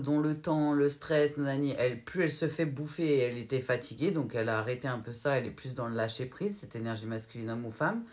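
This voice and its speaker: A woman's voice: very deep voice, a little mellow. A woman from France giving a casual, rambling tarot guidance reading and hesitating often as she relays what her guides show her. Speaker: tarot card reader.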